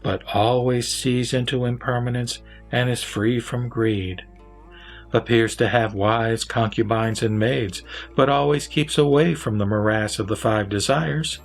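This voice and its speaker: Guy reciting scripture, formal and measured, mid pitch, music in the background. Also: steady tone, gravelly. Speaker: narrator and reader.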